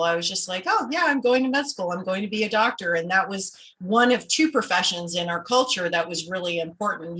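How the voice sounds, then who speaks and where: A woman's voice: on the low side, sharp tone. A woman born in Los Angeles, a guest on a podcast over a video call, talking candidly and explaining things patiently. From United States, born in Los Angeles. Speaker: interview guest, business founder. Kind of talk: casual interview chat.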